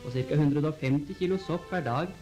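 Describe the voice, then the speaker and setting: A man reading scripted 1940s newsreel narration in a formal, measured announcer style on an old film soundtrack. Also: on the high side, darkish tone, soft background music, low-quality recording. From Norway.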